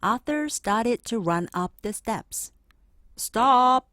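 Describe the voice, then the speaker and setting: Female children's read-aloud narrator, lively intonation at a slightly slow pace, expressive phrasing. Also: medium pitch, no echo, clean studio sound. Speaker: storybook narrator.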